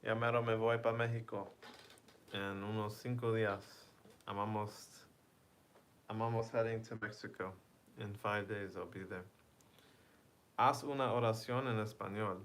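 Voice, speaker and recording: Slow-talking man chatting with his stream. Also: fairly low pitch, fairly even intonation, slight background noise. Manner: reads chat aloud, self-translates between English and Spanish; laughs softly between lines. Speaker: livestream host. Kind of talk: casual chat with viewers.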